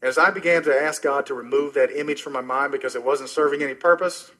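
A middle-aged man preaching a sermon as pastor, earnest and personal, often dropping soft and low at the ends of phrases. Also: steady tone, fast. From Oklahoma, US.

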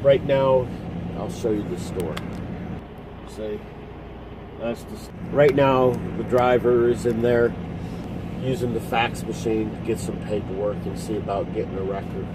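Middle-aged trucker guy rambling slow into his phone, cab hum and engine noise behind him. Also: lowish voice, steady tone. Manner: rambling, halting, explains with hand gestures. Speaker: truck driver vlogger. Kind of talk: casual vlog commentary. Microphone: phone camera at arm's length.

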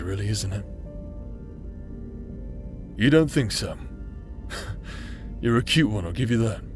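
A man acting in an intimate audio roleplay as a teasing, roguish outcast brother who plays the villain but is secretly tender, with a hesitant, chuckling drawl. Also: mid-range voice. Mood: wry. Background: music.

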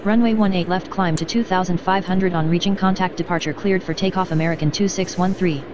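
A female synthetic voice playing a brisk, businesslike airline pilot in a game, giving clipped radio readbacks. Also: on the low side, fairly even intonation. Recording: dull lo-fi radio, clear background noise.

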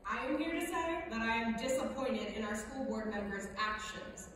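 A woman playing an indignant high school student delivering an emphatic, rhetorical speech of protest in a staged reading. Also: moderate pace, natural voice. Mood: indignant, firm. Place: large theater stage at lectern, indoors. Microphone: far camera in audience. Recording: very echoey theater, distant mic, faint noise.

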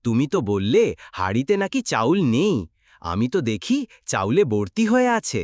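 A woman voicing a gentle, indulgent father and husband in a cartoon, speaking in a coaxing manner. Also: rumbling low, big pitch swings, moderate pace, no room sound, studio quality. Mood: surprised. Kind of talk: cartoon dialogue acting.

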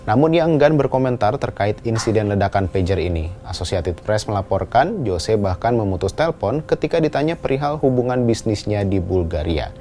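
A man's voice: lowish voice, sing-song, a little fast, hardly any pauses, dark tone, slight background noise. A man narrating a scripted news explainer as a voice-over. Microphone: voice-over microphone. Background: background music.

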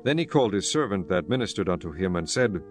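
A man with a lowish voice narrates scripture. His delivery is formal and solemn, like a storyteller, with music underneath. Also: big pitch swings, quick pace. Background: background music.

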